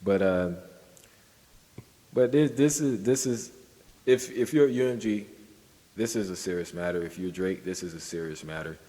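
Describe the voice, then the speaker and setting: A man co-hosting a podcast in casual discussion, halting and circling back over his points. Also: low-pitched, mellow, in a large hall. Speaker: podcast co-host.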